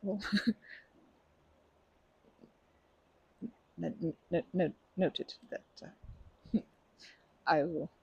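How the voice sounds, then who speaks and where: A woman acts out a shy, kind young woman in an ASMR comfort roleplay. Her voice is very breathy and mellow with some creak, and she stammers, rambles and puts herself down in a flustered, nervous way. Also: fairly low pitch, drawn-out words, no room sound, muffled recording, exaggerated voice. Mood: flustered, sheepish. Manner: stammering, self-deprecating, rambling with nervous giggles.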